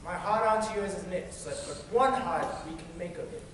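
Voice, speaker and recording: A man's voice: high voice, brisk, noisy background, lightly acted. A man playing a fickle, passionate young lover in a stage play, declaiming verse with big swings in volume. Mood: tender. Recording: echoey hall from far off.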